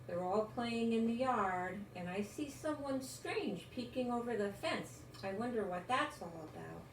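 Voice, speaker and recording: An older woman reading a children's story aloud in a gentle, expressive, encouraging way. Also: fairly high pitch, measured pace. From United States. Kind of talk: read-aloud children's story with chatty asides. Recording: roomy echo, distant mic, faint noise.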